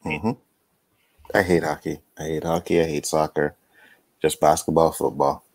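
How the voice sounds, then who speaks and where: A man's voice: extremely low pitch, little pitch movement, normal speed, a little mellow, no room sound. A man talking easygoing in a casual video-call panel chat, on a headset mic. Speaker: guest.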